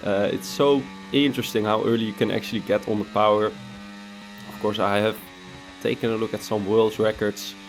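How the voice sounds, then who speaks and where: A relaxed man narrates his game footage in a low, slightly congested voice, since he has a cold. He chats easily and leans on filler sounds. Soft music plays quietly behind him. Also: moderate pace. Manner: laid-back, chatty, frequent "uh" fillers. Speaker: racing game content creator. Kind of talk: casual gameplay commentary voice-over.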